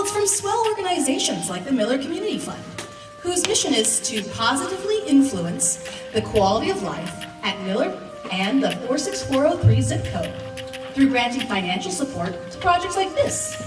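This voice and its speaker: A woman presenting a live amateur radio play and thanking its sponsors. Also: high voice. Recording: echoey room, distant mic, music behind.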